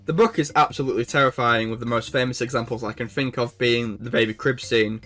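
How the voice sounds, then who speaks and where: A man's voice: medium pitch, fairly even intonation, quick pace, fairly bright tone. A man hosting a video essay, reading informal scripted narration. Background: background music.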